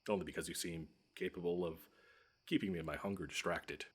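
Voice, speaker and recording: Husky, low-voiced grumpy monster, acted by a man. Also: steady tone. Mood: conspiratorial. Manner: pompous, wordy, drawn-out menace with dry sarcasm. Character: grumpy, pompous male monster lurking under a child's bed. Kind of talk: scripted audio roleplay monologue.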